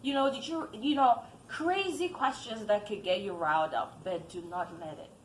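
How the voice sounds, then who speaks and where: A woman's voice: medium pitch, normal speed, slight background noise. A woman from Cameroon giving earnest advice to camera in a vlog, often repeating phrases for emphasis. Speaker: vlogger. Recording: echoey room with faint ambience.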